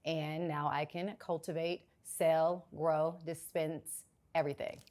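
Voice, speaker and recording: Raspy-voiced woman in her forties. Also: slow. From South Central Los Angeles. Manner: animated, jumps up in pitch when protesting. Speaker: guest. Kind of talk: casual interview chat.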